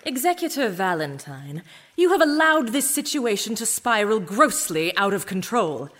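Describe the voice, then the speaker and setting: A woman's voice: medium pitch, sing-song, moderate pace, fairly bright tone. A woman playing a stern, unforgiving corporate director, formal and accusatory, in a dystopian audio drama. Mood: stern, accusing. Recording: reverberant hall.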